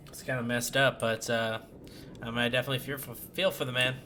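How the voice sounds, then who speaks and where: A man's voice: mid-range voice, little pitch movement, fast talker, pushed and bright. A man giving casual gameplay commentary as a video game streamer. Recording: clear background game noise.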